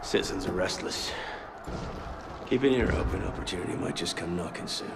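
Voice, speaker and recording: An actor playing a gruff, mocking older assassin mentor who barks orders in a video game cutscene. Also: male voice, low-pitched, animated, brisk, heavy background noise. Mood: wry, scheming. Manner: mocking, barking commands. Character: gruff older male assassin mentor, taunting, escaped prisoner. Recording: loud music behind.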